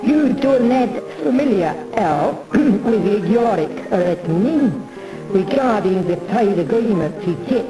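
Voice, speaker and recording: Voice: mid-range voice, expressive, measured pace. A performer delivering a rambling, mannered theatrical monologue, addressing the listener familiarly as a pianist would from the stage. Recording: roomy echo, distant mic, music behind.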